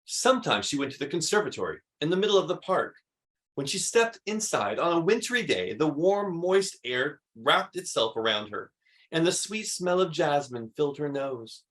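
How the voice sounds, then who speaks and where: A man reading a children's story aloud expressively, with emphatic delivery and dramatic pauses. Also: a little high, sing-song, a bit bright. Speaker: read-aloud host.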